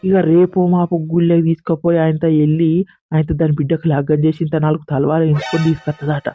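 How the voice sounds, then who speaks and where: A dull, lo-fi cartoon voice of a bossy, know-it-all village wife , acted by a man, pitched high. The delivery is emphatic and complaining, in a Telangana accent, with faint music underneath. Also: flat delivery, fast talker, natural voice. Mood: gossipy, emphatic. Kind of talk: comic village gossip dialogue in a cartoon.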